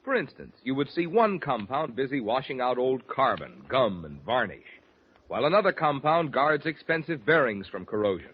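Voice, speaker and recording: A man's voice: medium pitch, husky. A man announcing a 1940s radio commercial with folksy, emphatic salesmanship. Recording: dull vintage radio recording.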